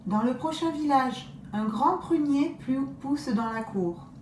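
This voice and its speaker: A woman voicing a kind old grandmother in a storybook, answering gently and plainly. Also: high voice, expressive, measured pace, distant mic, a little hiss or hum, pitched above the performer's own voice. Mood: calm. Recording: roomy echo.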